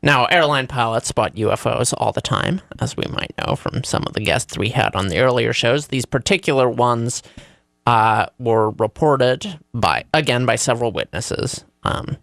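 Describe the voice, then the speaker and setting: A man's voice: mid-range voice, expressive, moderate pace, vocal fry, fairly bright tone, dry recording, clean studio sound. A man co-hosting a casual radio chat, presenting news in a wry, conversational way.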